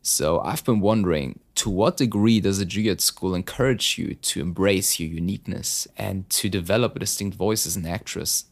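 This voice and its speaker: A man interviewing a guest, asking measured, encouraging questions. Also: sits low, creaky.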